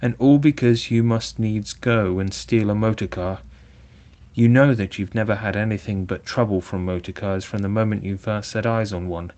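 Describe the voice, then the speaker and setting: A man's voice: lowish voice, moderate pace, darkish tone, lightly acted. Stern, sensible storybook water rat, voiced by a man narrator; earnest scolding.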